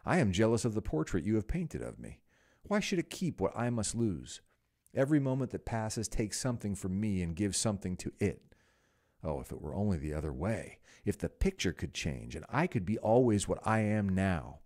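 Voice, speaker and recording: A vain young man full of dread about losing his youth, as a male reader puts him on. He pleads in a low, dark voice that swings widely between pitches and lifts a little above the reader's own. His delivery is melodramatic and anguished. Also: very animated, moderate pace.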